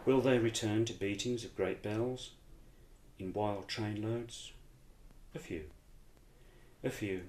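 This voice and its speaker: A man recites verse solemnly in a fairly low voice, taking it very slowly. The room around him is echoey. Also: darkish tone. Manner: measured, solemn. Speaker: poetry reader. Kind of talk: poetry recitation. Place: indoors in a shop. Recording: echoey room, faint background noise.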